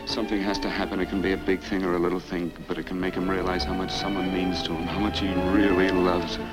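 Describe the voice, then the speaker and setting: A man's voice: low voice, level tone, quick pace, over loud music, close to an everyday voice, low-quality recording. Frank Bigelow, a man playing a tender engaged man. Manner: tender, earnest. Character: earnest engaged man declaring love. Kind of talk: 1950s film acting. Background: loud film score.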